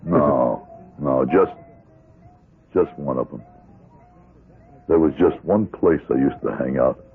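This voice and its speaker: An actor playing a weary, guarded middle-aged ex-convict in a radio drama, terse and blunt, with an American accent. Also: male voice, extremely low pitch, takes it slowly, phone-quality sound, full character voice. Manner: terse, flat-worded, guarded, world-weary. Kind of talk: old-time radio drama acting. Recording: band-limited radio drama with music.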